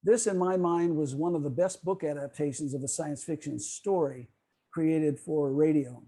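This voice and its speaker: A man presents to a club over a video call, in a partly scripted way. His voice is mellow and soft-edged, pitched a little high. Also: normal speed.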